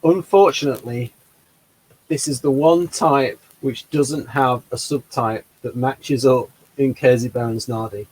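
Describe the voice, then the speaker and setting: A man hosting a casual discussion, making quick, rambling arguments about personality types. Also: medium pitch, fairly even intonation, a little mellow, slightly rough, low-quality recording. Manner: fast, rambling, argumentative explanations. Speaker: host.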